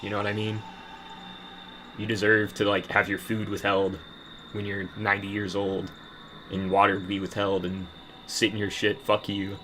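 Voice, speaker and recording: Man, lowish voice, bitter profane rant, rambling with restarts. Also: completely flat delivery, normal speed, long pauses, pushed and bright, a little hiss or hum. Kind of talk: casual rant to camera.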